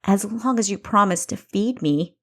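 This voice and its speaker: A woman's voice: medium pitch, expressive, moderate pace, voice pushed up, close to an everyday voice. Woman playing a sassy young female spy. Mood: sarcastic. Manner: sarcastic, cheeky.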